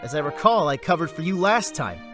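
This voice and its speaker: Man playing a wry young brother, animated. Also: fairly high pitch, a little fast, over music. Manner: wry, mock-formal, exasperated. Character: young merchant heir, wry exasperated older brother. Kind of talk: audio drama acting.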